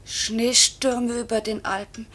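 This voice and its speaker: A distraught young pilot's wife in a 1950s German radio play. Her voice is fairly high and husky. She presses with urgent, accusing questions and pleads for the truth. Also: flat delivery, slow, fairly bright tone.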